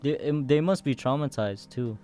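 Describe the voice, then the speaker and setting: A young man from the Philippines co-hosts a casual podcast with a friend. His mid-pitched voice is laid-back and slangy, with a joking streak, and music plays behind him. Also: normal speed, a little mellow. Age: young adult. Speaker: podcast co-host. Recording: music in the background.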